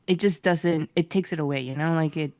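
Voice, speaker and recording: Low-voiced woman, thoughtful and hedging. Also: fairly quick, darkish tone, dry recording. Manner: thoughtful, hedging, laughs often. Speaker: podcast co-host. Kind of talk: casual chat.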